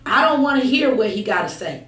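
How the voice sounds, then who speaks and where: Echoey, high-voiced woman preaching emphatically. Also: very animated, brisk. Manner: exhortatory, emphatic, swings from hushed asides to shouts. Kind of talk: fervent sermon with scripture reading and conversational asides. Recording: echoey room, distant mic, faint noise.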